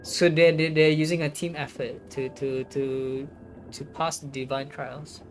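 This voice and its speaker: A woman streams a game, reading story text aloud and adding halting, musing commentary. Faint game music plays behind her voice. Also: deep voice, flat delivery.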